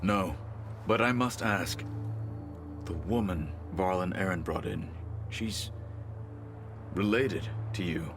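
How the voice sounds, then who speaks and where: Deep male voice, stern warrior, formal, terse, video game cutscene, music behind. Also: takes it slowly, long pauses. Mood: grave.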